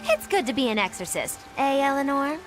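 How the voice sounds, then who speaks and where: A woman's voice: sits high, big pitch swings, fairly quick, fairly bright tone, soft background music. An actress playing a playful, mischievous woman in a fantasy video game. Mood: teasing. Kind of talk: game voice acting.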